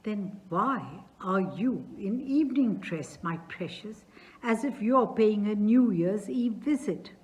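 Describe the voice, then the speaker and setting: A woman's voice: expressive, slow. A woman reading aloud as an effusive, fussy older male landowner who showers his guest with endearments. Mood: gushing. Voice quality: gushing, loud and high-pitched endearments.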